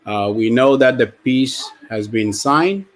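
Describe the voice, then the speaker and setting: Halting man speaking at a meeting. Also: mid-range voice, animated. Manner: halting, many fillers, switches into Arabic. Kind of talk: online community meeting talk.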